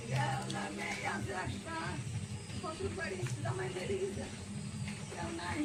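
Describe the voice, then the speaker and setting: A woman's voice: very high-pitched, moderate pace, loud music behind the voice. An elderly woman talking casually and plaintively, repeating her phrases over and over. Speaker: destitute villager receiving aid. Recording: very noisy handheld vlog audio.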